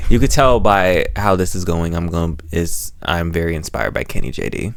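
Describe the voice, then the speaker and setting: Deep-voiced man chatting casually. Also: normal speed, vocal fry, background noise. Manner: rambling, self-aware, playful, repeats phrases. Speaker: YouTuber host and reviewer. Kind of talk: casual chatty review to camera. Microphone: microphone held very close to the mouth.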